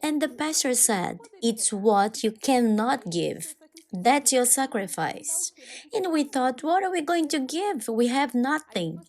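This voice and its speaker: A woman voicing over a personal testimony in non-native English, speaking in short, deliberate phrases. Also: fairly high pitch. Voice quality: non-native English with halting, irregular grammar. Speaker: voice-over translator of a church testimony. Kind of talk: dubbed first-person testimony narration.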